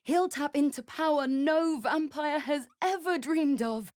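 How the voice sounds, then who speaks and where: A woman's voice: sits high, measured pace, a little mellow, dry recording, studio quality. A woman playing an anxious, devoted vampire spawn in a fantasy video game, speaking formally and earnestly. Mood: awed. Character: anxious, devoted female vampire spawn serving her master.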